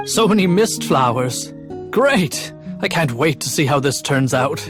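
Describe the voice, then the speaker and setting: An actor playing a nervous, devoted master brewer in a video game, flustered and deferential, with music behind him. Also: male voice, on the high side, lively intonation, a little slow. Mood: eager. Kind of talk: video game character acting.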